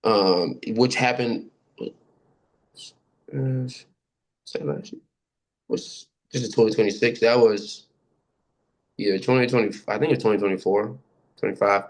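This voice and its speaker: Man haltingly telling a personal story. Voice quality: deep voice. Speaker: participant sharing personal experiences. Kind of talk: casual personal storytelling in a group discussion.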